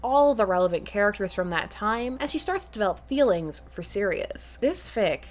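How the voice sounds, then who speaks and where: A woman's voice: medium pitch, very animated, moderate pace. A woman hosting an enthusiastic recommendation vlog, speaking emphatically with stretched words for stress and playful asides. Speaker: host of a fan fiction recommendation show. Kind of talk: enthusiastic scripted vlog review.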